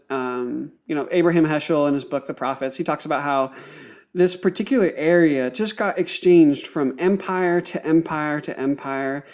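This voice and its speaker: A man's voice: fairly high pitch, mellow. A man speaking as a podcast guest, explaining thoughtfully in a conversational way, searching for words and using academic vocabulary.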